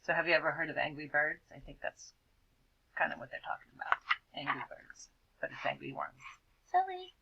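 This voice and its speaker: Bright, animated woman teacher reading aloud. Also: sits low, fairly quick, creaky, husky, muffled recording. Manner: expressive storytelling with stretched words and hushed explanatory asides. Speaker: elementary school teacher. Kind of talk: animated picture-book read-aloud for children, with asides.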